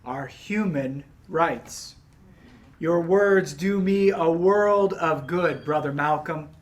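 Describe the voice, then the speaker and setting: Elderly male scholar played by a man, dignified, formal, deliberate phrasing, grave historical stage monologue. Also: a little high. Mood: warm, recollecting. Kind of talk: dramatic reading of historical monologue.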